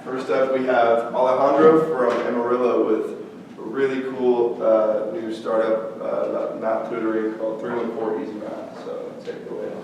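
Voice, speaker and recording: A man's voice: fairly low pitch, little pitch movement, moderate pace, gravelly, darkish tone, recorded from a distance, a little hiss or hum. A man hosting a morning event, casually introducing the next speaker. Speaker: event host. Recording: echoey room, speaker away from mic.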